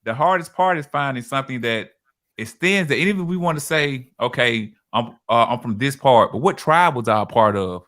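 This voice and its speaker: A man's voice: mid-range voice, expressive, normal speed, a bit bright. A man from the United States hosting a casual podcast chat, often repeating words as he talks and acting out quoted voices. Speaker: podcast host.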